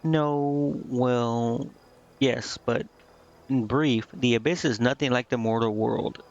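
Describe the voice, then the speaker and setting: A man's voice: medium pitch, steady tone, slow, creaky, a little mellow. Man reading as an earnest succubus, slightly dull sound. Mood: halting, reflective. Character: earnest, naive female succubus demon, remorseful and curious. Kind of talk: reading video game dialogue aloud.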